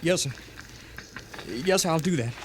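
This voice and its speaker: A man's voice: on the high side, animated, measured pace. An actor playing a queasy, overwrought cavalry soldier, pleading with his officer in a 1950s western radio drama. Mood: sickened, pleading. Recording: noisy 1950s radio recording.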